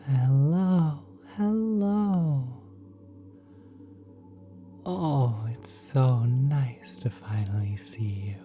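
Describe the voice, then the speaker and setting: A man voicing a kind, doting celestial being in a soft, breathy, often whispered ASMR roleplay, tender and halting. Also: mid-range voice. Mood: tender, delighted. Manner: tender, halting, gently coddling. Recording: cosmic ambient sound effects behind.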